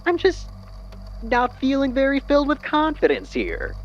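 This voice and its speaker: An actor playing a curious, wistful character in an audio drama, speaking in measured, formal phrases. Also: high-pitched, huge pitch swings, lo-fi sound. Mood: doubtful, courteous. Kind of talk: fan audio drama acting.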